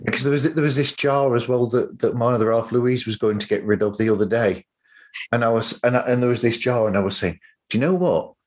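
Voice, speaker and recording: A man's voice: medium pitch, brisk. A man hosting a podcast in casual chat, rambling along with enthusiasm. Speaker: podcast host.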